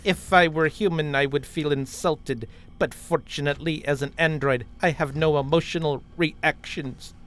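An actor playing a literal, self-important android officer with a formal, deadpan manner in a parody radio play. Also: mid-range voice, normal speed, few pauses, lightly acted.

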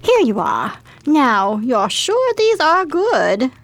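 An actress playing a chic, scheming 1920s gambling-parlor hostess, coy and full of endearments, in a radio crime drama. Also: female voice, sits high, huge pitch swings, takes it slowly, fairly bright tone, no room sound, exaggerated voice. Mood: coy, sociable.

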